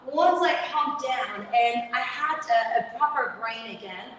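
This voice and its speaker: A woman hosting an interview, chatting and telling stories in an animated way. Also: a little high, a little slow. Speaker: podcast host, interviewer. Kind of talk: casual interview conversation. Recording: roomy hall, distant mic, faint noise.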